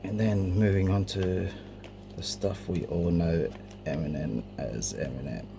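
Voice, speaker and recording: Deep, gravelly man with noisy sound. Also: unhurried. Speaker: collector presenting a cassette collection. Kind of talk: casual show-and-tell narration. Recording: clearly noisy amateur home recording.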